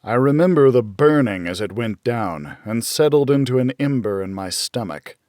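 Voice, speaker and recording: A man reading a dark first-person thriller as an audiobook, in a measured, dramatic storytelling manner. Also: medium pitch, expressive, dry recording, clean studio sound. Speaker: audiobook narrator.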